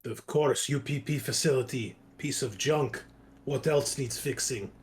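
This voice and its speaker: Man playing a slow-witted, blunt repairman. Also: mid-range voice, a little hiss or hum, lightly acted. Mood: grumbling. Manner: halting, clipped simple phrasing. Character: slow-witted male facility repair worker, blunt and simple.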